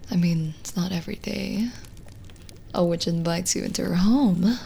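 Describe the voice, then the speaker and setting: A woman playing a lonely, gentle witch in an ASMR roleplay, speaking softly and soothingly, often slipping into a whisper. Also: fairly low pitch, creaky, slight background noise. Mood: hopeful, tender. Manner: slow, hushed, soothing, with pauses. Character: lonely, gentle witch reading a guest's palm. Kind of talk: soft-spoken ASMR roleplay, partly whispered.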